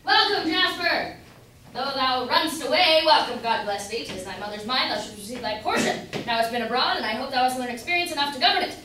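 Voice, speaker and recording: A woman's voice: sits high, brisk, sharp tone. A young woman playing a jolly, carefree old husband who breaks into song in an amateur staged play reading. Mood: merry, carefree. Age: young adult. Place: indoor room at a college staged reading. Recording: echoey hall from far off, noisy.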